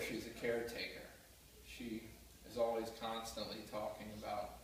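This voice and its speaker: A man's voice: fairly low pitch, darkish tone. Earnest man speaking in an echoey room. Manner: earnest, heartfelt, with small restarts. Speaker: student giving a class speech. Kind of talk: prepared speech. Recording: roomy echo, mic far off, faint noise.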